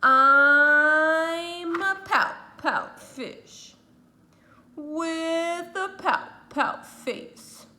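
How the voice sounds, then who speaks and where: A woman voicing a glum, sulky male fish in a children's storybook read-aloud, drawing out a slow sing-song refrain. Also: very high voice, sharp tone, pitched above the performer's own voice, heavily acted.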